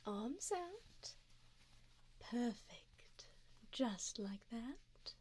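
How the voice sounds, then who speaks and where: A woman playing a wealthy, doting vampire sugar mommy in an ASMR roleplay, speaking softly in a genteel, seductive way, often slipping into a whisper close to the mic. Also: on the high side, sing-song, exaggerated voice. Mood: admiring, intimate. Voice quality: soft, breathy, often dropping to a whisper. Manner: slow, genteel, formal, seductive. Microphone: close binaural microphone.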